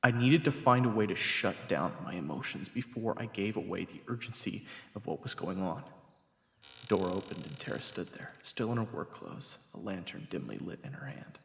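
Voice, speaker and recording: Husky, animated young fantasy hero played by a man. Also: fairly low pitch, moderate pace, exaggerated voice. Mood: grave narration. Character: earnest, flustered young man, fantasy adventure hero and narrator. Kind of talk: audio roleplay acting with first-person narration.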